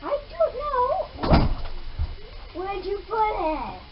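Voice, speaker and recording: A woman's voice: extremely high pitch, wildly swooping pitch, extremely slow. A young girl, a little child, chatting casually with a sibling at home. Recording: muffled, very noisy home camera audio.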